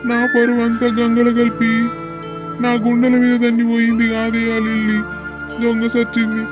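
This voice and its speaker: A man acting as a betrayed village father, crying in a high voice. He laments slowly in Telangana Telugu, in family-drama style, heartbroken. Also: completely flat delivery, over music. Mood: weeping grief. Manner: slow lamenting.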